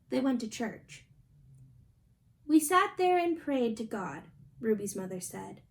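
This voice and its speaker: A woman's voice: high-pitched, gravelly, darkish tone. A young adult woman reading a picture book aloud as a storybook reader. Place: small indoor room at home.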